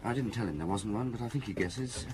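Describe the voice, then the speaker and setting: Male actor as deferential junior policeman, radio drama. Lowish voice, respectful, matter-of-fact, slight noise. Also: quick pace, darkish tone, natural voice. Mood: dutiful.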